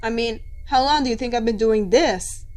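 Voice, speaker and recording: A woman's voice: on the high side, moderate pace, a little mellow, soft background music, voice pushed up, natural voice. A woman playing a cheerfully menacing male robot villain who taunts with false friendliness in a story reading. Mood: smug menace. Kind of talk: amateur dramatic fan fiction reading.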